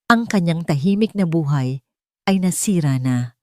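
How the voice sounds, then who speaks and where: A woman's voice: deep voice, animated, normal speed, mellow, no room sound, clean studio sound. A woman reading a scripted dramatic audiobook as the story's narrator.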